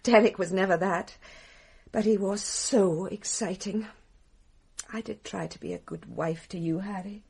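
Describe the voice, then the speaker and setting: An actress playing a titled English wife confessing to murder in a radio drama, bitterly ironic and theatrical, with a British accent. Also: female voice, mid-range voice, unhurried, gravelly, darkish tone, slightly rough. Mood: bitter, wistful.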